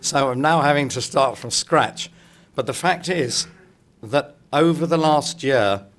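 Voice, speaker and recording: A middle-aged man with a croaky, hoarse voice opening a conference in a hotel meeting room, chatty and joking with the audience. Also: medium pitch, measured pace, a bit bright, slight background noise. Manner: chatty, jokey, addresses the room directly. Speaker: speaker opening a political congress.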